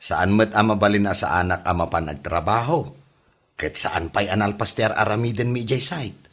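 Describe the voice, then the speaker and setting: A man acting a reluctant, grumbling working husband from a village in a comedy drama, with a husky voice and an Ilocano accent. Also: lowish voice, normal speed, a bit bright.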